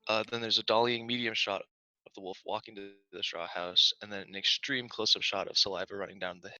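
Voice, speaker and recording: A man's voice: lowish voice, fairly even intonation, a little fast, pushed and bright, dry recording. A man reading camera shot directions at a script read, with muffled video call audio.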